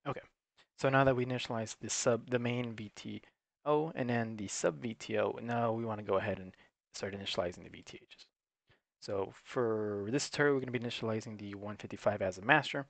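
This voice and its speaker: A man with a fairly low voice narrates a hands-on technical tutorial. He talks in a plain, instructional way, working through each step unscripted. The sound is dry, with very little room around it. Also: a little fast, gravelly, dry recording. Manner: matter-of-fact, instructional. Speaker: technical support presenter.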